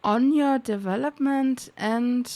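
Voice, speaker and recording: A woman doing casual livestream game commentary, reading on-screen text aloud in a halting, puzzled way, muttering guesses as she goes. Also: fairly high pitch, a little mellow. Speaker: game streamer.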